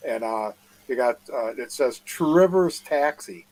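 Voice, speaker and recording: A man with a Wisconsin accent in a casual live chat over a video call, talking haltingly and often repeating his words. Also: medium pitch, animated, a little mellow, rough recording.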